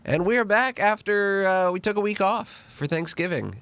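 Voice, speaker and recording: A man hosting a casual podcast chat, congested from a cold and sounding nasal, talking in an animated way. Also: normal speed, clear, resonant tone, dry recording. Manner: animated and talkative, pitch jumping up on emphasis. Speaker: podcast host.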